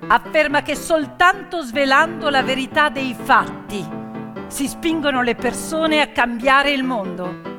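A woman delivering a theatrical narrative monologue, dramatic and emphatic, swinging between hushed and loud delivery. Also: sits high, lively intonation, unhurried. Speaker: stage actress and storyteller. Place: theatre or hall stage. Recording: long hall reverb, music behind. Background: piano music.

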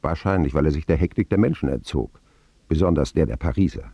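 A candid, wry narrator looking back on boyhood in a radio play. His low, mellow voice creaks and moves expressively, with deliberate pauses. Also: no echo, dull audio, exaggerated voice. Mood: reflective. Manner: dramatic phrasing with deliberate pauses. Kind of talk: scripted first-person radio drama narration.

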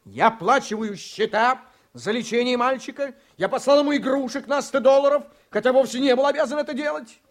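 An actor in a Russian radio play voicing an embattled, blustering politician who is desperate and scheming, speaking emphatically and commandingly. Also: male voice, extremely high pitch, fairly quick, hardly any pauses. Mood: indignant.

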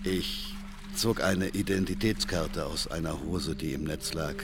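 A man acting a middle-aged fisherman and father in a radio drama, an earnest, haunted witness who tells his story haltingly and insistently. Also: a bass voice, music in the background. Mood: somber, measured. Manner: halting, deliberate, repetitive storytelling.

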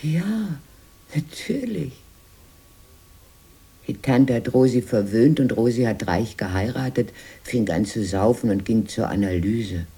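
An old, sentimental sister in a radio drama. The voice is very husky and mellow, rising and falling freely. She rambles dreamily and wistfully through her memories. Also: very deep voice, expressive, a little slow, heavily acted. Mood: mildly defensive. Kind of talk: radio play dialogue.

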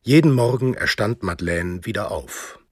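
Deep male German narrator over music. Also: animated, moderate pace, darkish tone. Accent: standard German. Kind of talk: scripted radio drama narration.

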